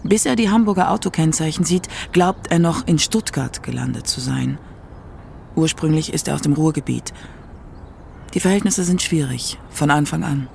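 A man's voice: on the high side, normal speed, creaky, darkish tone, a little hiss or hum. A man reading scripted narration for a radio documentary.

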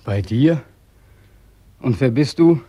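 A man's voice: mid-range voice, animated, takes it slowly, dark tone, breathy edge, no echo. A young soldier home from war in a radio drama, worn out and hopeless. His mid-range voice is dry and close, with an exhausted, bitter, pleading manner. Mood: bewildered. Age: young adult. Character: despairing, weary 25-year-old war veteran longing for death. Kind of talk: radio play acting.

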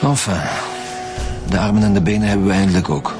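Actor doing flemish police boss, deep voice, short probing questions, radio crime drama w music. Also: male voice, steady tone, fast, natural voice. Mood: matter-of-fact. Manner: curt, probing, matter-of-fact. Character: businesslike middle-aged lead homicide detective. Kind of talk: naturalistic radio drama acting.

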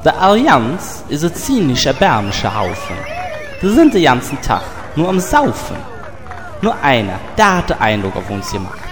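A man's voice: a little high, huge pitch swings, moderate pace. Man acting a boisterous dwarf declaiming in an echoing hall. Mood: boastful. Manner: declaiming in rhymes. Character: boisterous, mocking dark-iron dwarf carnival crier. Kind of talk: comic audio drama, rhymed carnival speech. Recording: echoing hall with crowd noise.

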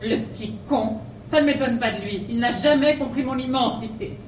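A woman's voice: on the high side, normal speed, far from the mic, close to an everyday voice. An actress playing a deranged, grandiose woman killer in a comic audio drama, with a theatrical, pompous, defiant manner. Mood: indignant. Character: grandiose deranged female killer, fanatical devotee of pasta. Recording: roomy, off-mic, noisy action-scene sound.

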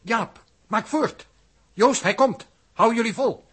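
A man's voice: extremely high pitch, slow pace, fairly bright tone, heavily acted. An actor playing a stiff, logical, reserved crewman in a 1960s radio drama, with dry, formal reasoning. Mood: urgent.

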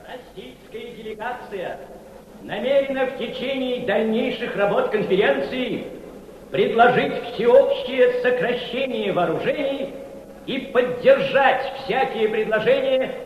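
An actor playing a Soviet diplomat who delivers formal, measured and emphatic oratory in a radio play. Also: male voice, very high voice, slight background noise. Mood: firm, solemn. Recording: echoey hall with long reverb, dull sound.

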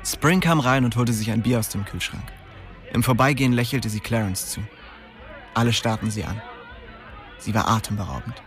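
A man's voice: medium pitch, moderate pace, pauses often, a bit bright, some chatter behind, close to an everyday voice. Man acting young male small-time dealer, weary, bitter, coarse slang, radio crime drama narrator, music behind. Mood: reflective. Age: young adult. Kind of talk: radio drama, first-person narration and dialogue.

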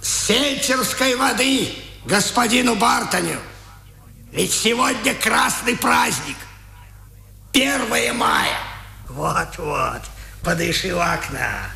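An actor playing a sadistic, hot-tempered prison guard who jeers and barks with a sharp, creaky voice in a 1950s radio drama. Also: male voice, sits high, big pitch swings, slow, long pauses, exaggerated voice. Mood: mocking. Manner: jeering, barking. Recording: echoey old radio drama recording.

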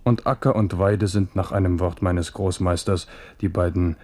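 An old french king in a radio drama, low mellow voice, wry, wistful, takes his time musing. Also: fairly even intonation, moderate pace. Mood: genial. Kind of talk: German radio play acting.

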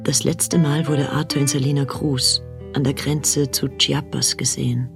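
A woman's voice: very deep voice, little pitch movement, normal speed, creaky. A woman narrating a radio play as a sober storyteller. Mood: sober, mysterious. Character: sober female storyteller recounting a mysterious life. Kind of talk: scripted radio drama narration. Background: plucked guitar music.

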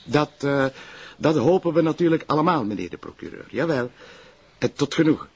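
An actor playing a calm, courteous police detective with a Flemish accent, speaking in a measured way in a television crime drama. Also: male voice, fairly high pitch, husky, highs cut off. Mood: deferential.

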